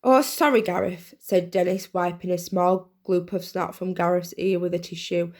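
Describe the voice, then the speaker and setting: A woman's voice: on the low side, monotone, moderate pace, darkish tone. A woman reading a children's storybook aloud as narrator. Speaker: reader, teacher.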